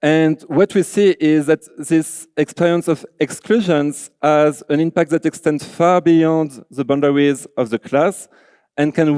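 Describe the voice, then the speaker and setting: A man's voice: a little high, mellow, clean tone, no room sound. A man from France with a French accent, giving an earnest conference talk as a designer, filling his sentences with "like" and "you know". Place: large auditorium.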